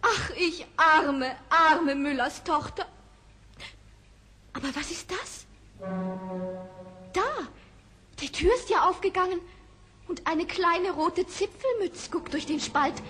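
A woman's voice: very high-pitched, slow pace, pauses often, pushed and bright, heavily acted. A woman playing a young, helpless miller's daughter, plaintive and sighing, in an old fairy-tale radio play. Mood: tearful despair. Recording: faintly noisy old record.

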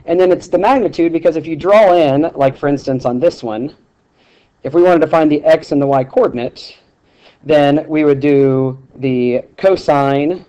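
A man teaching math over a screencast, explaining steps in a relaxed way with many ums and restarts. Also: fairly high pitch, dull audio. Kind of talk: screencast lesson with handwriting.

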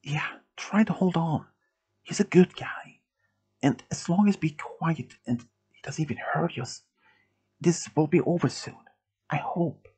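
A man's voice: sits high, huge pitch swings, fairly quick, few pauses, a little mellow, husky, pitched above the performer's own voice, exaggerated voice. A man voicing an anxious, worried young woman who is alarmed yet hopeful in a told story. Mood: hushed, hopeful.

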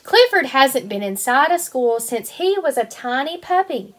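High-voiced young woman reading to children. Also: measured pace. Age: 20s. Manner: animated, sing-song, addressing small children. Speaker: kindergarten teacher reading aloud. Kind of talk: picture-book read-aloud for young children.

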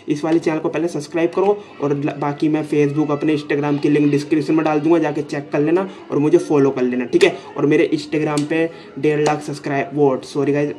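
A man's voice: a little high, mellow. A young man vlogging casually to his phone camera in a small room, talking in a chatty way and repeating the same filler words over and over. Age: young adult. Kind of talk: casual vlog talk to camera. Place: small room indoors, then a seller's home.

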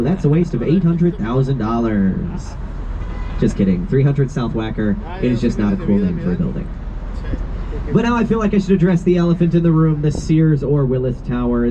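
A man giving a guided boat tour outdoors, telling facts with wry, deadpan jokes and punchy timing. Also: on the high side. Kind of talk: scripted joke-filled guided tour commentary. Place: outdoors on an open river boat among tall buildings. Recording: dull lo-fi sound with loud city and boat noise.

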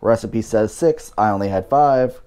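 A man's voice: lowish voice, a little slow, mellow. A man hosting a home cooking show, talking through each step in a casual way with dry, wry asides.

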